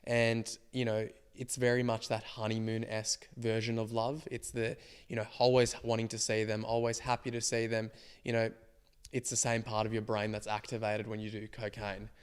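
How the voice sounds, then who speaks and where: A man in his twenties co-hosting a podcast with a slightly croaky voice, reflecting at length in casual chat. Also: lowish voice, completely flat delivery, moderate pace. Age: 20s. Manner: reflective, explains ideas at length. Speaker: podcast co-host.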